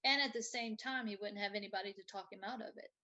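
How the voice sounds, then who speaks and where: Female podcast host, bright and forward tone, conversational delivery. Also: medium pitch, fast talker, lo-fi sound. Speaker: teacher. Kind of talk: casual conversation.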